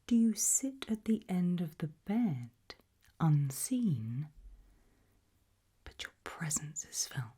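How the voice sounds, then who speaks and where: Slow, breathy British woman whispering. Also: fairly low pitch, big pitch swings, dark tone. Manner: slow, deliberate, lingering questions with long pauses. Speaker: ASMR roleplay performer. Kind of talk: whispered ASMR roleplay.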